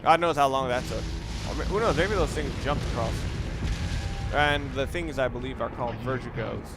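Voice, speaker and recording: A man's voice: medium pitch, normal speed, very noisy. A man giving casual, rambling video game commentary, talking through his reasoning aloud as he plays. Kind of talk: casual let's play commentary.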